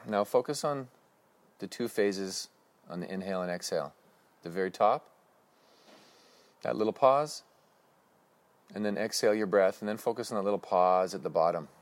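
A man leading a guided breathing meditation with slow, calm, instructive cues. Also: low-pitched.

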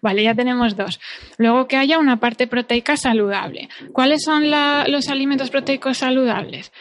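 A woman's voice: sits high, normal speed. A woman with an accent from Spain explaining things as an expert podcast guest in a didactic, emphatic, conversational way. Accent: Spanish from Spain. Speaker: dietitian-nutritionist, podcast guest.

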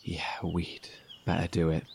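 An actor in an audio horror drama playing an anxious, devoted brother, halting and stammering with emotion. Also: male voice, low voice, monotone, creaky, darkish tone, slight background noise. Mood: tense, hushed. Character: anxious, devoted, grief-stricken brother surviving apart.

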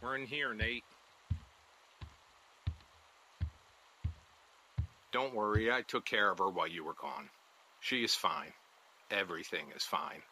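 An actor playing an ominous, secretive neighbor in an audio drama, talking in short deliberate phrases. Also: male voice, on the low side, sharp tone, slight background noise, low-quality recording. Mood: reassuring. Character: ominous, guilt-ridden male neighbor with secrets.

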